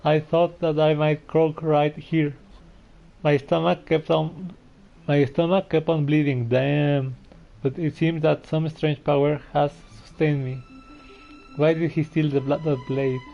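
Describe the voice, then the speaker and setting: A man's voice: fairly high pitch, little pitch movement, unhurried, gravelly. A young man in a casual game playthrough, commenting and reading text aloud. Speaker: gamer, video host. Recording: slightly muffled, game music behind.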